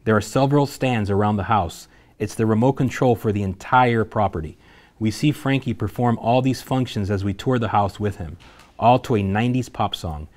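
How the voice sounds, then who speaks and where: A man's voice: fairly low pitch, darkish tone. A man reading scene headings and action lines aloud as the narrator at a screenplay table read.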